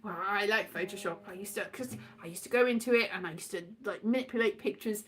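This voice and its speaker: A woman's voice: medium pitch, quick pace, fairly bright tone. A woman in a casual, rambling monologue, playful and scatty, wandering off on tangents and repeating her words. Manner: playful, acts out quotes in put-on voices.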